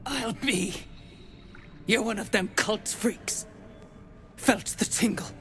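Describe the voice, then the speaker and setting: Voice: medium pitch, slow pace, fairly bright tone, faint music behind. An actor playing a crude, foul-mouthed dwarf guard in a video game, blunt and mocking. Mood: gruff, threatening.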